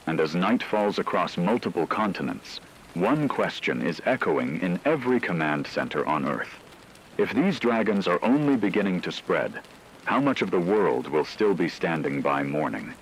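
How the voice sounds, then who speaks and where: A man playing a grave radio news anchor, delivering scripted breaking news in a solemn, measured, dramatic way. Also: sits low, sing-song. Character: grave male radio anchor reporting a catastrophe. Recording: noisy hissy radio broadcast.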